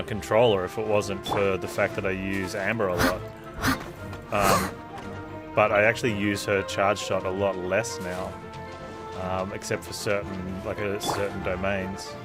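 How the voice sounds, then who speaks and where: Low-voiced man rambling over game music. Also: steady tone, measured pace, long pauses. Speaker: game streamer. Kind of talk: casual live gameplay commentary. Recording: game music and effects behind.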